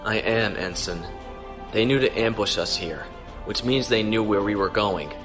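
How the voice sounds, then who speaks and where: A man's voice: on the low side, moderate pace, music in the background, close to an everyday voice. A man acting a stern, decisive young starship captain in a sci-fi audio drama. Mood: grave urgency.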